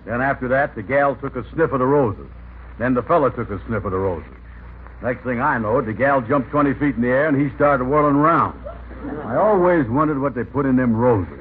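Radio comedy sidekick played by a man: naive, folksy, mid-pitched, tinny old recording. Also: a little fast, slight background noise, natural voice. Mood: naive, friendly. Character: simple, plain-spoken folksy buddy.

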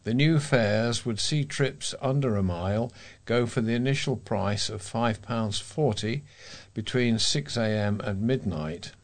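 A man's voice: mid-range voice, a little slow. British man reading local news. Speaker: volunteer newsreader. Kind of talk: read-aloud local news.

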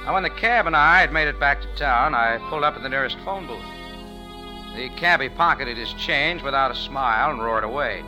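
A tough, wry male sleuth from 1940s radio drama, played by an actor. He is clipped and hard-boiled, whether talking or narrating, in a slightly low voice. Music plays clearly behind him. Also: fairly quick, natural voice, poor audio. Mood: tense, wry narration. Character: tough, wry American cafe owner turned sleuth.

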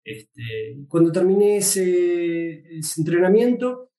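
A man in his forties with an Argentine Spanish accent answers interview questions at a slightly raised pitch, in a dark, mellow voice. He talks hesitantly, repeating words and using many fillers. The room sounds echoey. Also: lively intonation, takes it slowly, distant mic. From Uruguay, raised in Argentina. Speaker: guest veterinary pathologist.

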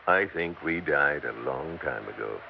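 A man's voice: rumbling low, expressive, a little slow, gravelly, heavily acted. An actor playing a tired, wisecracking older traveling salesman who may be dead, in a 1960s radio drama. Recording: dull old radio broadcast with faint hiss.

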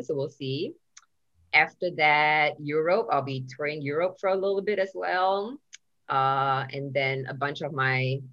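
A woman's voice: sits low, a bit bright, muffled recording. A woman from Singapore, a touring stand-up comedian, telling stories in a casual podcast chat. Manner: chatty storyteller, swearing and acting out quotes.

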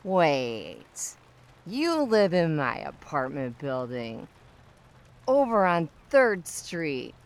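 A woman's voice: low-pitched, big pitch swings, very slow, full character voice. A woman playing a tipsy, nosy and pushy young neighbour in a comic audio drama, chatty, teasing and insistent. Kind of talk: audio drama comedy acting.

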